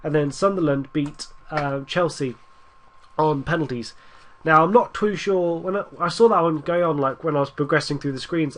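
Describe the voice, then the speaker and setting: A young man with a blocked, stuffy nose from a cold talks through his football management game in a loose, rambling way. His voice sits a little high for a man, and he restarts sentences often and cheers his side on as matches play out. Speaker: gaming YouTuber. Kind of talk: casual gameplay commentary.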